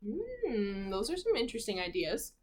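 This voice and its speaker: A woman's voice: mid-range voice, background noise. A woman teacher reading a picture book to young children, expressively, with chatty asides and questions. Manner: expressive, pausing to comment on pictures and prompt children. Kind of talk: storybook read-aloud with asides and questions to young listeners.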